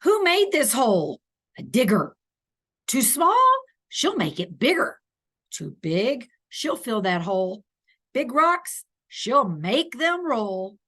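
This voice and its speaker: Very animated woman reading a picture book. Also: mid-range voice. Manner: animated, deliberate emphasis with playful asides to the child. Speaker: children's picture book reader. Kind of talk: expressive read-aloud for young children.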